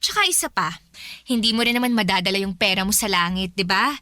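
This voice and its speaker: A woman's voice: medium pitch, lively intonation, fast talker, pushed and bright, no echo, studio quality. An actress playing a young, breezy, generous girlfriend in a Filipino radio drama, with a playful, coaxing, casual way of talking. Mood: teasing, defensive.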